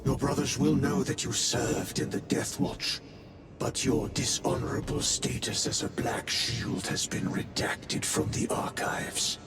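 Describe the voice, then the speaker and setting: Solemn space warrior elder for a game, super slow n ceremonial, echoey, music behind. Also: male voice, mid-range voice, vocal fry. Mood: grave, solemn. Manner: grave, ceremonial, measured. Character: solemn male space marine elder presiding over an oath. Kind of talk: cinematic video game dialogue.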